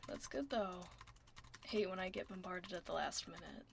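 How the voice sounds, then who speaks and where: Woman acting a soft, creaky-voiced tomboy office admin. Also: on the low side, normal speed, a little hiss or hum. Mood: casual, businesslike. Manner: casual, teasing, reassuring. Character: tomboy werewolf office admin woman, laid-back, gruffly caring. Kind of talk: ASMR roleplay, soft casual office chat. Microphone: close binaural microphone.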